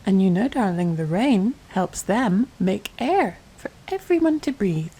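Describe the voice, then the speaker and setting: A woman playing a tender, doting mother in a soft-spoken ASMR bedtime roleplay, gentle, soothing and affectionate, often dropping to a whisper. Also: mid-range voice, very animated, unhurried, slightly rough, heavily acted, low-quality recording. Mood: tender, playful. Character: tender, doting mother soothing her little girl to sleep.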